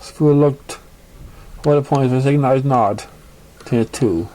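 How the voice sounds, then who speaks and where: A man's voice: medium pitch, moderate pace, dark tone, rough recording. A man narrating a scripted story, sometimes stumbling over the words as he reads. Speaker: fan fiction reader.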